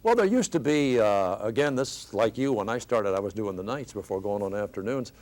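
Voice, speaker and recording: A man's voice: on the low side, lively intonation, fairly quick, a little mellow. An older American man, a veteran radio broadcaster, telling casual stories from his years on the air in a panel chat. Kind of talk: panel reminiscence, casual storytelling.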